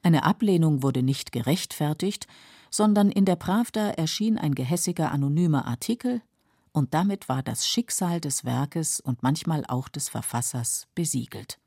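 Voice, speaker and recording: Low, dark, husky female narrator. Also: expressive, normal speed, no echo, studio quality. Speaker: documentary narrator. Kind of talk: scripted radio documentary narration. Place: dry studio.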